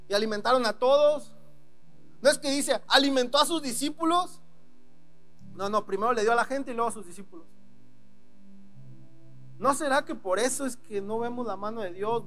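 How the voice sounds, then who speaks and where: A man's voice: high voice, a bit bright. A man with a Mexican Spanish accent preaching a sermon, talking in a casual way and acting out prayers and God's replies. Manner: conversational preaching with dramatic quoted prayers. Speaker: pastor.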